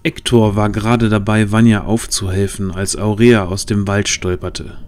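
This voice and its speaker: A man's voice: on the low side, level tone, moderate pace, darkish tone. A man as narrator, reading a scripted fantasy story in a dramatic storytelling style. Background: background music.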